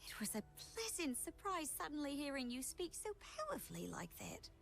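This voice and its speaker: A woman's voice: sits high, wildly swooping pitch, a little fast, gravelly, a bit bright, faint music behind, heavily acted. An actress voicing a polite, encouraging young woman in a mystery video game. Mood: gentle admiration.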